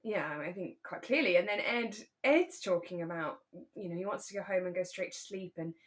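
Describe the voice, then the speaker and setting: A woman's voice: on the low side, normal speed. Woman co-hosting a chatty podcast. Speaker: podcast co-host. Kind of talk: casual chat about a radio drama.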